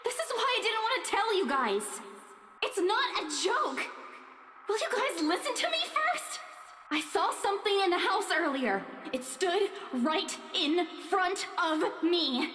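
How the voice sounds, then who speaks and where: A woman's voice: very high voice, very animated, measured pace, fairly bright tone, full character voice. An actress playing a frightened young woman, distressed and insisting that she be believed, in a visual novel. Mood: frightened, pleading. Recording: reverberant echoey hall, faint noise.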